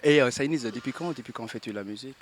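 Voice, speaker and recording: A man asking casual interview questions, halting and rephrasing them as he goes. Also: mid-range voice, slight background noise.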